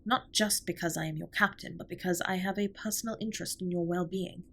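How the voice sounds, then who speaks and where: A woman playing an alien spaceship captain in a comfort audio roleplay, speaking softly in formal, precise sentences with gentle, earnest concern. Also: fairly low pitch, steady tone, vocal fry. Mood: concerned, hesitant. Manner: formal, stiffly precise, measured wording.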